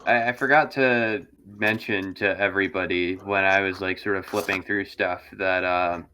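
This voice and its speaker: A man with a fairly low but bright, forward voice talks as a tabletop roleplay player. He describes his character in a casual, hesitant way with plenty of hedging. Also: fairly even intonation, normal speed, slight background noise, poor audio.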